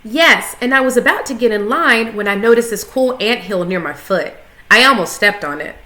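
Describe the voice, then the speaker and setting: A woman's voice: a little high, animated, normal speed, bright, forceful tone, roomy sound. A woman reading the part of a distracted, earnest young schoolboy who explains himself eagerly in a children's story.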